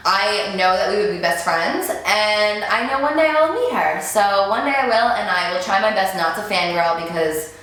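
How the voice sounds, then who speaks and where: A woman's voice: medium pitch, moderate pace, fairly bright tone. A woman in her twenties, a beauty vlogger, chatting casually to camera and answering viewer questions. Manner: chatty, gushing, many "like"s and "um"s. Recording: very roomy echo.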